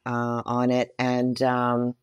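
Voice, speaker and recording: A woman's voice: extremely low pitch, monotone, slow, smooth, dry recording, highs cut off. A middle-aged woman speaking earnestly and reflectively in a casual interview, often affirming what she hears. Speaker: podcast guest, performer and mentor.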